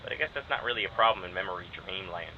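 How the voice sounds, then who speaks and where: An actor playing a wry young test subject in an audio drama, speaking informally and haltingly with a sardonic edge. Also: male voice, low voice, animated, muffled recording, crowd noise. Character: wry, rambling young man describing his memories, guarded test subject. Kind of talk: naturalistic audio drama acting.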